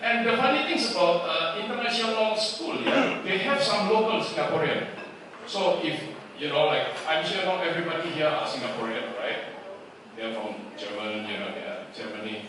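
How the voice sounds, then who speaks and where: A man's voice: on the high side, sing-song, moderate pace, bright, forceful tone. A man from Indonesia, a music teacher, telling stories at a concert in a chatty way, with restarts and many tag questions. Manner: chatty, restarts words, many "you know" tags. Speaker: music teacher and stand-up comic. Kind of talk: stand-up comedy storytelling. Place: concert venue with audience. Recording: echoey room from far off, with noise.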